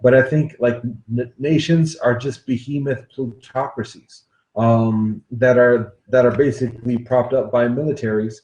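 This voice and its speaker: A man's voice: mid-range voice, steady tone, darkish tone, dull audio. Roomy-sounding man hosting a podcast. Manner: thoughtful, halting, many fillers and restarts. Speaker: podcast host. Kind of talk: conversational podcast interview. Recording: roomy echo.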